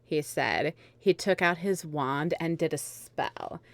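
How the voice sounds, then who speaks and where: Low, dry, unhurried woman narrator. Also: no echo. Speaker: narrator and host. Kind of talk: comic dramatic reading of fan fiction, with casual asides.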